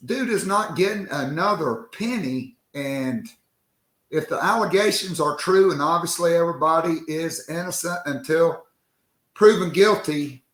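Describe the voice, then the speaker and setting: A hoarse man hosts a casual sports podcast, joking loosely as he talks. His voice sits a little high, and he takes his time with slow, drawn-out phrases. The sound bounces around an echoey room. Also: expressive, fairly bright tone. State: hoarse, worn-out voice. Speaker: podcast host.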